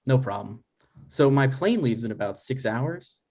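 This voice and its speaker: A man's voice: medium pitch, animated, a little slow, a little mellow. A man playing a neurotic, touchy son in his thirties, argumentative and dry, in a scripted comedy table read. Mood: deadpan. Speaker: writer and actor in a table read.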